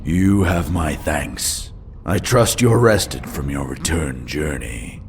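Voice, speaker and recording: A man voicing a menacing dark elf raider lord in a fantasy audiobook, commanding and measured, with a resonant voice. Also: a little hiss or hum, heavily acted. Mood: coldly commanding. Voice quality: deep, resonant.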